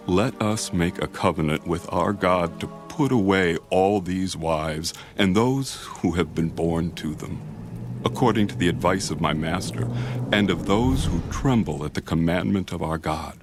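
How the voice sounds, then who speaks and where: A man's voice: deep voice, unhurried. An actor playing an earnest Israelite spokesman in a dramatised scripture reading, solemnly urging his people. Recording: music behind the voice.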